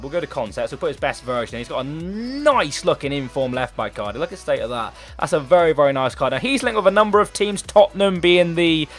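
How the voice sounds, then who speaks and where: A man's voice: a little high, fairly bright tone. A young man with a sore throat, chatting casually about football players for his gaming channel. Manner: chatty, rambling, enthusiastic. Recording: faint background music.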